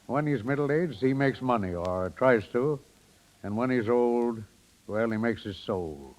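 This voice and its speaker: A man's voice: medium pitch, lively intonation, unhurried, close to an everyday voice, rough recording. An actor playing a fatherly, reflective older man in the style of 1930s radio drama. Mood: wistful, reflective. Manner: gentle, philosophical, reflective. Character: wistful, fatherly older man advising his son-in-law.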